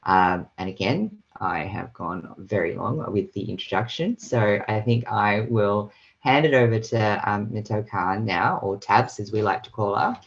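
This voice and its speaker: A woman's voice: extremely low pitch, expressive, unhurried, highs cut off. A woman hosting a casual video call podcast, rambling through a long introduction with many fillers. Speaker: podcast host. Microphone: video call mic.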